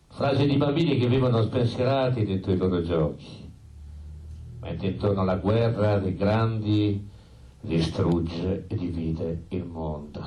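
The recording is echoey and distant from the microphone. A man with a deep voice delivers a theatre monologue as narrator. His storytelling is solemn and deliberate. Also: warm tone.

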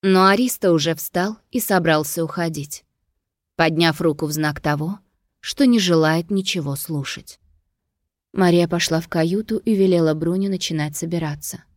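A woman's voice: fairly low pitch, moderate pace, dry recording, studio quality. A woman reading a novel as a scripted audiobook narration.

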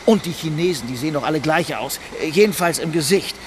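An actor playing a grumpy, prejudiced male shopkeeper in a radio play, gruff and hesitant. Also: fairly high pitch, animated, fast, lightly acted. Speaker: drinks shop owner. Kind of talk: radio drama acting. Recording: radio play with street noise.

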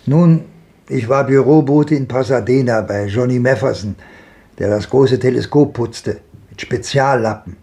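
An actor playing a world-weary old wanderer in a 1950s German radio drama, reminiscing in a lyrical, declamatory way. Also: male voice, mid-range voice, a little slow, mellow, close to an everyday voice. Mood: musing. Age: older. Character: world-weary old wanderer looking back on a hard life.

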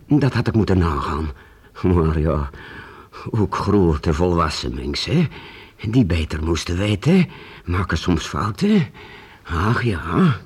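An actor playing a weary, earnest old master painter in a Dutch radio play, speaking haltingly with repeated words and archaic formal address. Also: male voice, deep voice, sing-song, a little mellow, slightly rough, full character voice. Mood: confiding, rueful. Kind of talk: Dutch radio drama acting.

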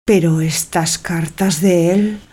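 An actress playing a naive, lovestruck young wife, sighing and yearning in a theatrical audio drama. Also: female voice, fairly low pitch, steady tone, slow, dark tone, husky. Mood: confiding, lovestruck. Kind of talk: theatrical radio drama acting.

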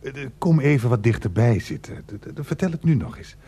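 Husky, stammering older uncle. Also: male voice, mid-range voice, animated, fairly quick, mellow. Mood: flustered, evasive. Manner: stammering, evasive, repeating words. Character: evasive, unctuous older uncle, born before the war. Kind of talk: radio play acting.

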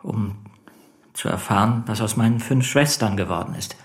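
A man's voice: fairly low pitch, moderate pace, close to an everyday voice. An actor playing a meek, cornered man of forty in a German radio play, subdued, deferential and hesitant. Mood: defensive. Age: 40s. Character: meek, cornered forty-year-old male stamp collector.

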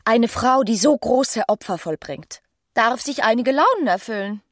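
A woman's voice: on the high side, lively intonation, moderate pace, no room sound, studio quality. A woman playing a melancholy, romantic provincial young wife in a radio play's inner monologue, wistful and dramatic. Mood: self-justifying.

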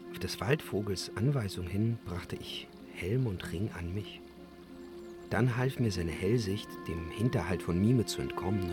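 An actor playing a proud, guileless young dragon-slaying hero telling of his deeds in a fantasy radio play. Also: fairly low pitch. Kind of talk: radio drama storytelling.

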